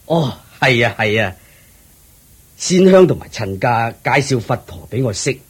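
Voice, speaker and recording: A husky, kindly old lord. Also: male voice, mid-range voice, sing-song. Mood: contented. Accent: Hong Kong Cantonese. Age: older. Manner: slow, measured, approving. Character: elderly kindly male lord, generous father-in-law. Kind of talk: radio drama acting.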